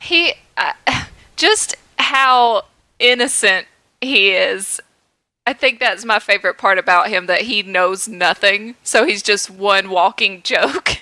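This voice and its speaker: A woman's voice: mid-range voice, slow pace, sharp tone, no echo. A woman co-hosting a podcast in casual chat, giggly and enthusiastic as a fan. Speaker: podcast co-host.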